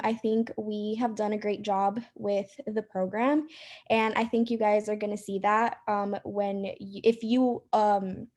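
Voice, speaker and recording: A woman's voice: medium pitch, darkish tone. Young woman presenting to students. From Cuba. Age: 20s. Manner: friendly, many ums, encouraging. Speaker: club president. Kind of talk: casual presentation to a student group.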